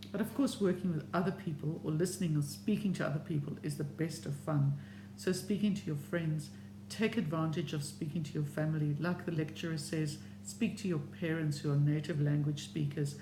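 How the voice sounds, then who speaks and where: Middle-aged, Serbian home language, measured encouraging vlog talk, roomy echo, audible hum. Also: medium pitch. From South Africa. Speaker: language learning vlogger. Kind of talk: opinion talk to camera. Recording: echoey room with steady hum.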